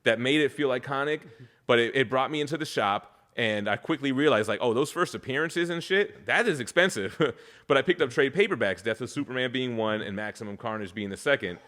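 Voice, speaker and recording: A man, hosting a casual chat and talking fast and eagerly, with casual swearing. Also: mid-range voice, a bit bright. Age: 30s. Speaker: podcast host.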